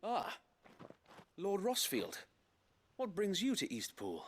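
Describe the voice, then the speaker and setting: An actor playing a dutiful, courteous but burdened guard captain in a fantasy video game, formal and articulate with an English accent. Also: male voice, high voice, huge pitch swings, slow, heavily acted. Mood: courteous, wry. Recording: music behind the voice.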